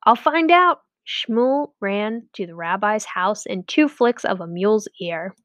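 Slow-paced woman reading a storybook. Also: mid-range voice, no echo. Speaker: read-aloud storyteller. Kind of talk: scripted children's storybook narration.

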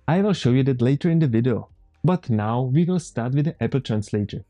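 A man in his thirties with a Czech accent, calmly walking viewers through a computer tutorial step by step as the channel's host. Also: mid-range voice, fairly quick, faint music behind. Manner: calm, methodical step-by-step explaining. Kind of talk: screen-recorded software tutorial. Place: home room, indoors.

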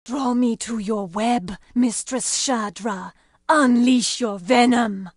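An actress playing a devout, commanding female troll priestess with a husky voice in a fantasy video game. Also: fairly high pitch, takes it slowly, no echo, studio quality. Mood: commanding invocation.

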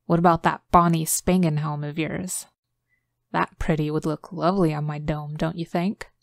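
A woman's voice: sits low, fairly even intonation, normal speed, creaky, dry recording, studio quality. A woman voicing a greedy, smug male Viking gambler who taunts and jokes in a storybook reading. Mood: taunting. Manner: taunting, jovial.

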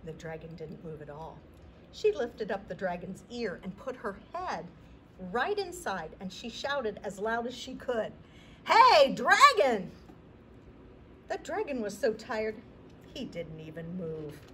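A middle-aged woman hosting a library storytime, reading a picture book aloud to children in an expressive, deliberate way. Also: mid-range voice, a little hiss or hum. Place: indoor library room.

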